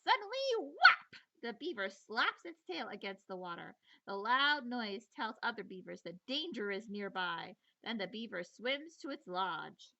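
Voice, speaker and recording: A woman teacher reading a children's nature book aloud in an expressive, playful storytelling manner, with chatty asides. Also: mid-range voice, measured pace, gravelly, muffled recording.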